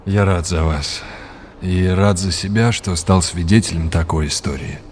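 An actor playing a kindly, courteous academic mentor in an audio drama, deliberate and encouraging. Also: male voice, sits low, darkish tone, a little hiss or hum. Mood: warm, friendly.

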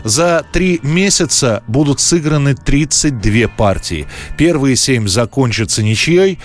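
Male history narrator over soft music. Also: medium pitch, expressive, unhurried. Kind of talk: scripted documentary narration. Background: faint background music.

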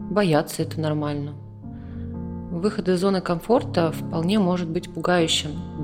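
A woman in her thirties with a fairly low voice, speaking as a gestalt therapist. She asks and explains in a measured, gentle way, with music clearly audible behind her. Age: 30s. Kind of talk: psychotherapy session in an audio drama.

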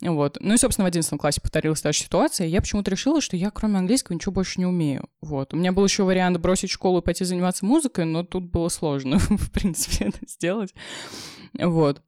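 A young woman, an English teacher, telling her story in a casual chat, with many fillers and quick laughs. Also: lowish voice, mellow, no room sound, clean studio sound. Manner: fast, chatty, lots of fillers and laughs.

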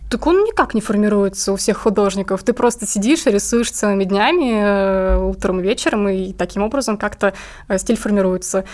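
A woman's voice: medium pitch, expressive, fairly quick, darkish tone. A woman speaking casually as an interview guest, talking about her own work as an illustrator.

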